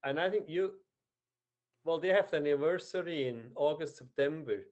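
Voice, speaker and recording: Swiss German man, slightly high voice. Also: moderate pace, a little mellow, lo-fi sound. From Switzerland. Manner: hesitant, many restarts and fillers. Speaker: podcast co-host. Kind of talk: casual chat.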